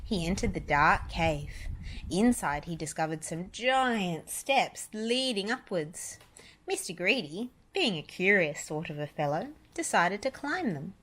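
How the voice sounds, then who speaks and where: A woman reading a children's story aloud, deliberate and emphatic on repeated descriptive words. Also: fairly low pitch, expressive, a bit bright. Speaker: storybook reader, parent.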